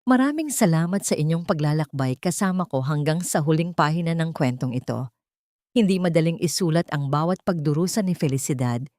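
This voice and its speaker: A woman narrating a dramatic story in an earnest, sentimental, measured way. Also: low-pitched, expressive, a little mellow, dry recording, studio quality.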